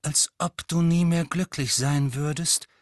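A man's voice: fairly high pitch, steady tone, unhurried, no echo, studio quality, voice pushed up, lightly acted. A man voicing a defiant, angry teenage boy who speaks heatedly. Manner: heated, insistent.

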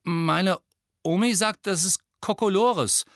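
A man's voice: high voice, takes it slowly, fairly bright tone, dry recording, studio quality, pitched above the performer's own voice, full character voice. A man voicing an earnest, loyal schoolboy in an audiobook, speaking simply and plainly. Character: plain-spoken, earnest, loyal schoolboy who trusts his granny. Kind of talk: audiobook character voice.

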